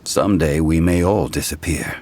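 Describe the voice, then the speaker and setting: An actor voicing a calm, wise, ancient man in a video game, recounting history in a measured, formal, grave manner. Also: very deep voice, noisy background. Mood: reflective.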